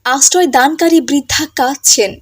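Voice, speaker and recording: A woman's voice: sits high, moderate pace. A woman giving scripted storytelling narration for a cartoon folk tale.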